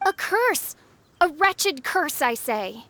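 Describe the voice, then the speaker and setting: Dramatic teen princess game character, high voice, slow grand old-timey speech, super pompous. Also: female voice, sing-song, sharp tone, no room sound, heavily acted. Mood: ominous, dramatic. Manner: pompous, archaic, melodramatic. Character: theatrical, grandiose teenage self-styled dark princess. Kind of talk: video game character acting.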